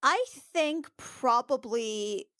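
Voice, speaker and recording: A woman's voice: high voice, expressive, very slow, a bit bright, no echo. Woman improvising game narration, dry sound. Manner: tentative, descriptive, thinking aloud. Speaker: player in a tabletop role-playing podcast.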